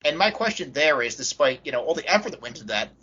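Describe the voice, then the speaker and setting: Bright, higher-voiced man giving direct opinions. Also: very animated, fast talker, breathy edge, highs cut off. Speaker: podcast co-host. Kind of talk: casual opinionated chat about tabletop games.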